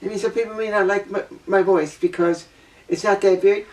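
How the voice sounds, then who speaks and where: A woman with a low voice giving a blunt opinion monologue, repeating her points for emphasis. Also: steady tone, a little fast, a little mellow, recorded from a distance. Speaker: vlogger.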